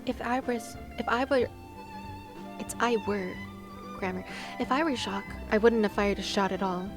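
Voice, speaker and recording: A woman's voice: medium pitch, normal speed. A woman livestreaming a video game, casually commenting, reading aloud and musing as she thinks things through. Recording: game music behind the voice. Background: video game music.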